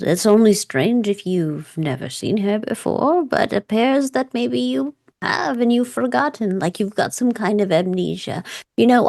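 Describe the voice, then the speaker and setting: A woman's voice: fairly high pitch, gravelly, darkish tone, dry recording. A woman playing a wry, worldly, evasive woman in a tabletop roleplay, musing in roundabout phrases.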